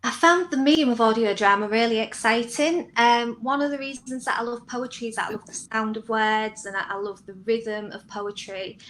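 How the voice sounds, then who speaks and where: A woman's voice: a little high, fairly even intonation, normal speed, few pauses, a bit bright, slight background noise, poor audio. A woman answering interview questions as a guest writer, talking conversationally about her own work.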